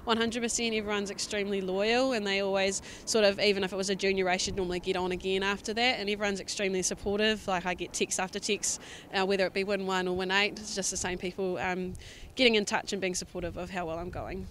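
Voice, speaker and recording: A woman's voice: medium pitch, flat delivery, fast talker, fairly bright tone, slight background noise. A young woman in her twenties with a New Zealand accent, a junior harness driver giving upbeat, modest interview answers with plenty of ums. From Southland, New Zealand.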